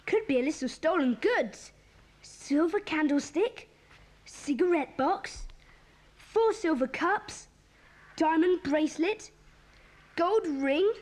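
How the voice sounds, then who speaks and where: A woman playing a bossy, excitable schoolboy club leader in a children's audio drama, with a commanding, eager manner. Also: sits high, exaggerated voice. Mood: excited, intrigued.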